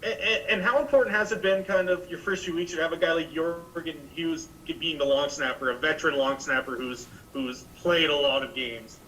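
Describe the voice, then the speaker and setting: A man's voice: fairly quick, hardly any pauses, sharp tone. A man asking questions as a sports reporter at a press conference. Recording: distant mic with rumbling noise.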